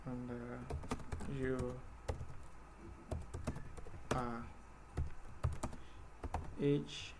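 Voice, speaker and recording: A man's voice: mid-range voice, level tone, drawn-out words, gravelly, long pauses, very noisy. A man teaching a live programming class, explaining patiently step by step with fillers. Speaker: programming instructor.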